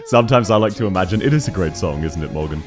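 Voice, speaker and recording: A man with a thick Australian accent, chatting casually and quickly as a game streamer, laughing often while reading chat aloud. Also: sits low, music in the background. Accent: Australian, unusual variety. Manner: fast-talking, laughs often, animated.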